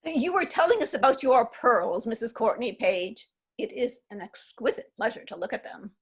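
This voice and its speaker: A woman reading the part of a heartbroken aging woman still mourning a lost love, in a halting, wistful way, for a readers theatre play. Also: on the high side, big pitch swings, husky.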